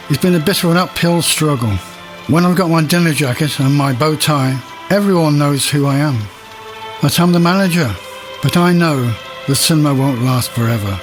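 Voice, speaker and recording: A man playing a proud, wistful cinema manager in an audio monologue, confiding and reflective, with a husky voice. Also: on the high side, lightly acted.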